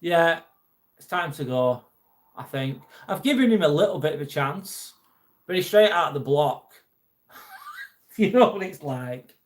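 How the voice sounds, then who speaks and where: A man with an English accent hosts a live stream, reading viewers' comments aloud in a loose, chatty way. His voice sits a touch higher than most men's. He jokes along, with a mild edge of irritation when talking about a troll. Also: huge pitch swings, unhurried, pauses often. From England.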